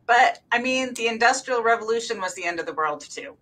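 A woman's voice: fairly high pitch, expressive, a little fast, sharp tone. A woman on a faculty panel, talking informally and casually over a video call. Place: office, on a group video call.

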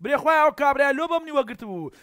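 A man's voice: very high voice, expressive, very fast, fairly bright tone, dry recording, clean studio sound. A man in his thirties with a Kurdish accent, chatting casually as a livestream host and repeating short phrases into a headset mic. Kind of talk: casual chat with strangers on a livestream.

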